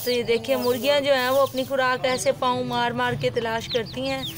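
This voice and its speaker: A woman's voice: high voice, level tone, fairly quick, background noise. A young woman explaining a home recipe step by step in a chatty, casual way, recorded on a phone outdoors at home. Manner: chatty step-by-step explaining, frequent religious blessings. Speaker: home cooking vlogger. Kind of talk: casual cooking demonstration.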